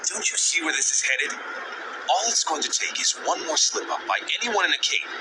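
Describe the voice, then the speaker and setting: A man playing a sardonic superhero industrialist in a video game, measured and persuasive. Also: a little high, expressive, lightly acted. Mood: worried, urgent. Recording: very noisy background ambience.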